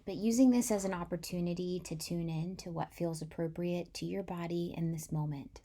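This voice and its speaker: A woman guiding a body-scan meditation in a gentle, soothing, unhurried way, softly breathy as phrases trail off. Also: on the low side, steady tone. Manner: gentle, unhurried, soothing delivery with long pauses. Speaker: meditation guide.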